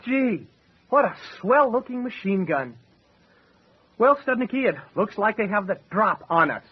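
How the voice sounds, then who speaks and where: An actor playing a reluctant male sidekick, husky and sarcastically complaining, in an old-time radio adventure. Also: high voice, sing-song, a little slow, full character voice. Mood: wry. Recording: dull old-radio style sound.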